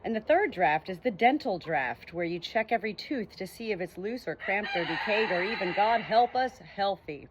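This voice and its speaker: A woman's voice: mid-range voice, lively intonation, moderate pace, hardly any pauses, highs cut off, a little hiss or hum. Woman earnestly reading a book aloud. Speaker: audiobook reader.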